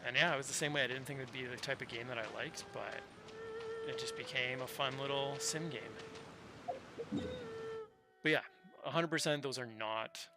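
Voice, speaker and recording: A man's voice: mid-range voice, vocal fry. A man livestreaming a game, chatting casually in an easygoing, slangy, conversational way. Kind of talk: casual chat while gaming. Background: game music.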